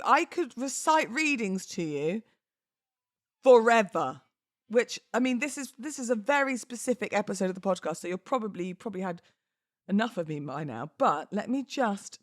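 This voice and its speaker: A woman's voice: a little high, big pitch swings, normal speed, no room sound, clean studio sound. A woman hosting a podcast solo, talking informally and conversationally in a self-deprecating way. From United Kingdom. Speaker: podcast host. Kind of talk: chatty solo podcast with readings aloud.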